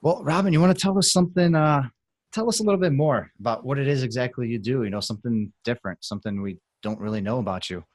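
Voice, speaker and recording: A man hosting a podcast, conducting a casual interview in a halting, conversational manner. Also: mid-range voice, a little mellow, no room sound, clean studio sound.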